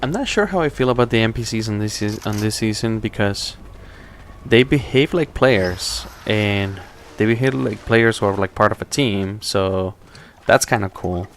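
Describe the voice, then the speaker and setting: A man with a slight accent giving relaxed, rambling commentary while streaming a game live, often restarting his sentences. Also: fairly low pitch, moderate pace, a little hiss or hum. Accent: non-native English accent. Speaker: tech streamer.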